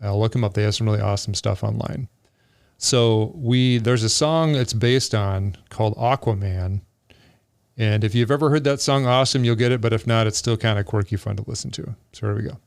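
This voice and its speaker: A man's voice: on the low side, brisk, gravelly, clean tone, no room sound, studio quality. A middle-aged man hosting a podcast, reminiscing in casual chat. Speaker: podcast host.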